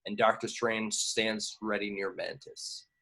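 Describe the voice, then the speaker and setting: A man's voice: lowish voice, flat delivery, a little slow, vocal fry, sharp tone, slight background noise, low-quality recording. A man reading out stage directions as scripted narration at an amateur table read.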